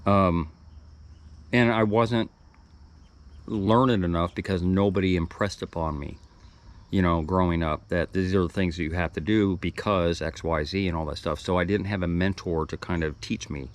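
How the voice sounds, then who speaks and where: Man, mid-sixties, very low voice, casual reflective vlog delivery, wanders and restarts mid-thought. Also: fairly even intonation, normal speed. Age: 60s. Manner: rambling, thoughtful, with restarts and trailing asides. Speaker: video blogger.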